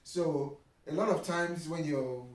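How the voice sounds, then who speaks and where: A man's voice: fairly high pitch, takes it slowly, crowd noise. A Nigerian man with a Nigerian accent, talking casually as an interview guest in an explanatory way and often checking that he is understood. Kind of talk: casual conversation about marriage. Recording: echoey room, distant mic, faint noise.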